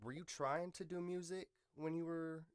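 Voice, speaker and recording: A man's voice: on the high side, fairly even intonation, moderate pace, clean tone, no room sound, studio quality. A man hosting a podcast, chatting in a casual, slangy way like he is talking with an old friend. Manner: slangy, informal, swears casually. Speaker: podcast host. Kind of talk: casual interview chat among friends.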